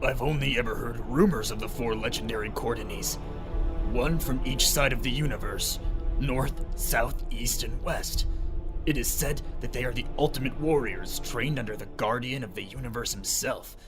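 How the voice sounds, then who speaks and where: A man playing an earnest, anxious young hero in an animated fantasy war story, speaking in an emphatic, halting way. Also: mid-range voice, music in the background, close to an everyday voice. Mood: awed disbelief. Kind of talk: animated fantasy character acting.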